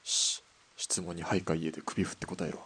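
A man acting a gruff, cocky young army sniper turned killer in a Japanese audio drama, blunt and curt. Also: sits low, level tone, fairly quick, very noisy, natural voice. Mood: menacing. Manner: blunt, curt, tough-talking.

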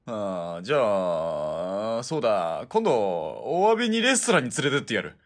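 A man playing a gruff, world-weary gunman in a Japanese radio drama, speaking bluntly and wryly in rough colloquial speech. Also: on the low side, sing-song, normal speed. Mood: placating. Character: gruff, world-weary male sniper-team partner, wryly nagging.

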